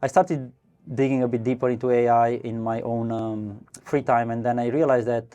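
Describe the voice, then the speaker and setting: A man's voice: medium pitch, flat delivery, normal speed, warm tone, muffled recording. A man from Romania, a company co-founder, giving casual interview answers in a brewery podcast studio, hesitant and full of restarts. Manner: hesitant, many fillers and restarts, laughs easily. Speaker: guest, startup co-founder.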